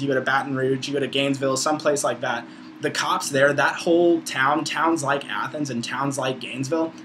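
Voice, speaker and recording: Male, about 20, American accent, informal college vlogger, opinionated and profane, bright forceful voice, slightly noisy recording. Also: mid-range voice. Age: around 20. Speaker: video blogger, college student. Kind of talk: casual opinionated vlog monologue.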